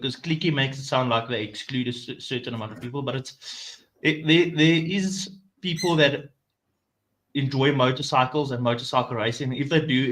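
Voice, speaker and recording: A South African man, Afrikaans his first language, talks English with an Afrikaans accent at an ordinary middle pitch. He speaks casually and hesitantly, restarting phrases as he goes. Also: fairly bright tone, rough recording. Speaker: podcast guest. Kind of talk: casual podcast chat.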